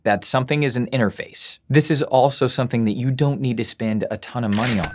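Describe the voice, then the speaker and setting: A man's voice: mid-range voice, fast, background noise. A man who runs a voice-over studio, giving a chatty, self-deprecating tutorial from his studio at work. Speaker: voice-over studio owner and teacher. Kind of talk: gear tutorial video.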